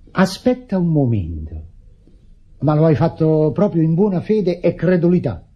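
Sarcastic Neapolitan bitter, exasperated brother. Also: male voice, on the high side, sing-song, slow, husky, full character voice. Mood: sarcastic, accusing. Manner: sarcastic, stammering when flustered. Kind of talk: stage-style Italian radio drama acting. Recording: muffled old radio drama recording.

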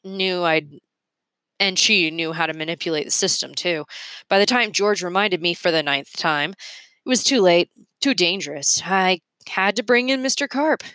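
A woman's voice: on the low side, moderate pace, sharp tone, no room sound. A woman voicing an eccentric, forgetful male inventor in a children's storybook, whimsical and emphatic. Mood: breezy, confiding.

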